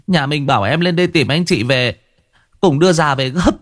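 A man's voice: fairly high pitch, a little fast, a bit bright, no echo, studio quality, pitched above the performer's own voice. A man voicing a distraught young woman who stammers out news of a death in the family. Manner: stammering, tearful.

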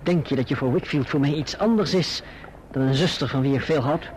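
An actor playing an earnest, lovelorn young gentleman in a radio drama, frank and emphatic. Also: mid-range voice, a little fast, lightly acted. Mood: curt, irritated. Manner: earnest, emphatic, well-spoken. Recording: muffled, noisy old radio recording.

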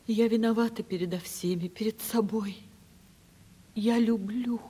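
Anguished poor young woman, high breathy voice, heartfelt hushed delivery, long reverb, 1970s radio play. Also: steady tone, unhurried. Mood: anguished pleading. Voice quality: breathy, husky.

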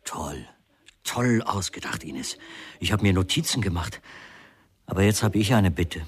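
An actor playing an evasive writer under suspicion in a German radio crime drama, hesitant and casually dismissive. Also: male voice, low-pitched, animated, unhurried, slightly rough, exaggerated voice. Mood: casual, careful. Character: evasive male writer questioned about his missing wife.

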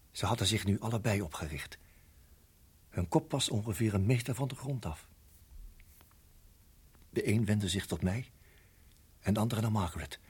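A low, very husky husband in a radio play, caught in mortal danger. He speaks haltingly and urgently, often hushed, keeping himself tightly controlled. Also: heavily acted. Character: tense husband guiding wife through deadly snake danger. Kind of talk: radio drama acting and narration.

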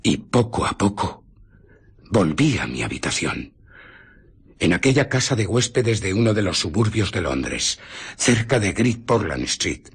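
An actor with a Castilian Spanish accent playing an obsessed, irritable scientist in a radio drama, brooding and intense. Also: male voice, fairly low pitch, very animated, unhurried, exaggerated voice. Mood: tense recollection. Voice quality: husky. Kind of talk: radio drama acting, first-person narration.

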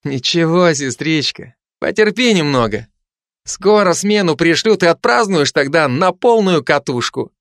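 A man's voice: on the high side, lively intonation, fairly bright tone, dry recording, studio quality, voice pushed up. A man voicing a cheerful young lieutenant who jokes with soldiers and nurses. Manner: brisk, friendly.

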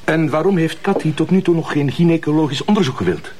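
A man's voice: on the high side, fast talker, a little mellow, a little hiss or hum, lightly acted. A man with a Flemish accent playing a probing, sceptical police detective in a crime drama. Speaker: actor.

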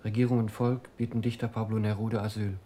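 An actor as a soft-toned, humble young postman. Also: fairly low pitch, level tone, fast talker, slight background noise, lightly acted. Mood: dutiful. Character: young village postman, humble, deferential, earnest. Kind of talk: radio play acting.

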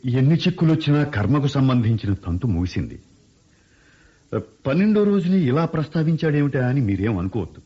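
A scheming politician son-in-law. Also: male voice, medium pitch, big pitch swings, brisk, slightly rough. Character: calculating son-in-law, politician, smooth talker. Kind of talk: 1970s-style radio play acting. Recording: dull lo-fi radio broadcast.

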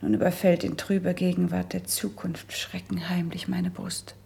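An actress playing a noble, lovesick young princess in a classical verse radio play, declaiming solemnly and wistfully in a hushed, breathy voice. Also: female voice, lowish voice, level tone, heavily acted. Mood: wistful sorrow. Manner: solemn, wistful, drawn-out poetic phrasing.

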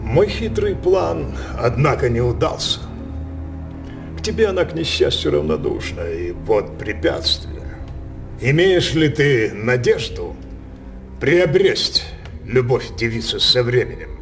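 A man's voice: medium pitch, sing-song, a little slow, music in the background. An actor playing a capricious, scheming tyrant king in a radio stage drama, declaiming in an imperious, mocking, theatrical manner.

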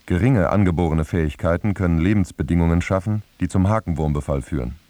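A man's voice: low voice, quick pace, mellow, dry recording. A man reading quoted passages from a script for a radio feature. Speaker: reader of quotations.

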